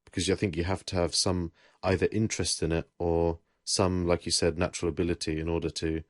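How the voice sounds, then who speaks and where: Low-voiced young Englishman, relaxed chat. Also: brisk, dry recording, studio quality. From England. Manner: relaxed, rambling, with self-corrections. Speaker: podcast host. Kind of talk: casual chat.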